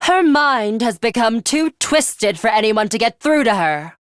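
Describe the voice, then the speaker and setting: A woman voicing a ruthless, blunt high school girl fighter in a video game, composed, deadpan and commanding. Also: a little slow, no room sound, studio quality. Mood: cool, dismissive. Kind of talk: scripted English game voice acting.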